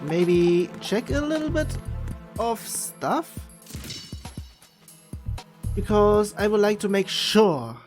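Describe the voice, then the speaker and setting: A man's voice: high-pitched, animated, slow pace, pauses often, faint music behind. A man giving casual gameplay commentary as a game streamer.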